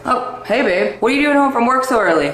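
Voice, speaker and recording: A man's voice: very high voice, animated, quick pace, a bit bright, slight background noise. A person playing a lazy, neglectful husband and father in a comedy skit, dismissive and grumbling. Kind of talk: acted comedy skit dialogue. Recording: roomy echo.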